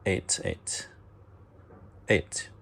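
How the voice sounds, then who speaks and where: A man narrating step-by-step instructions in halting, short clipped phrases, as a product demonstrator. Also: fairly bright tone. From China.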